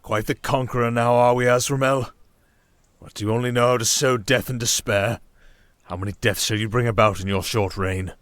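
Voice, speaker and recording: A proud fantasy duke and villain, played by a man, speaking with deliberate, theatrical condescension. He accuses as if passing judgment. The audio drama recording is very dry. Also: mid-range voice, steady tone, a bit bright, smooth, no room sound, lightly acted. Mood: scornful. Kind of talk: audio roleplay drama acting.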